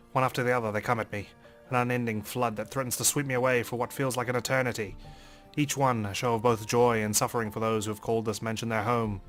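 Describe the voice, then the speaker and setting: A man hosting a let's play, reading a visual novel aloud in a measured, theatrical way. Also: medium pitch, a bit bright. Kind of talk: dramatic read-aloud narration of a visual novel with commentary.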